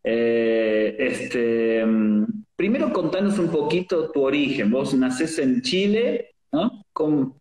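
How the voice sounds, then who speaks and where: A man with an Argentine accent hosts a relaxed interview over a video call. His voice sits at an ordinary middle pitch, and the audio carries a noticeable room echo. He talks playfully, joking with his guest and leaning on filler words. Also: slow pace. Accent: Argentine Spanish. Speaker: host. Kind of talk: casual interview chat.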